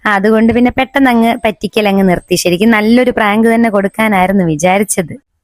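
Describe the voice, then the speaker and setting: A woman's voice: medium pitch, fast, dry recording, studio quality. A woman with a Kerala Malayali accent giving a chatty, casual vlog narration in a conversational way. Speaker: family vlogger. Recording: background music under voice. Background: music.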